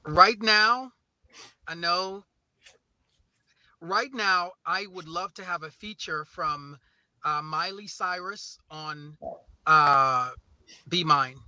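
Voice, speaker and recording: A man with a high, very bright and sharp voice gives a casual interview as a music artist. He is effusive and halting, often doubling back on his words as he talks. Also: clear, resonant tone, no room sound, lo-fi sound. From St. Croix and New Orleans.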